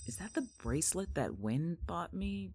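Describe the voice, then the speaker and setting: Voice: medium pitch, sing-song, normal speed, darkish tone, soft background music. An actor in an audio drama playing a battered young protagonist who is wary, sarcastic and hesitant. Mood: hesitant, questioning. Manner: dry, hesitant, exasperated.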